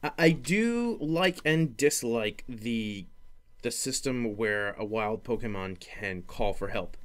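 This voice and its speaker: A man's voice: medium pitch, a bit bright. A man chatting casually about gaming as a podcast host, in a rambling, hesitant way with frequent restarts. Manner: hesitant, rambling, often repeating and restarting phrases.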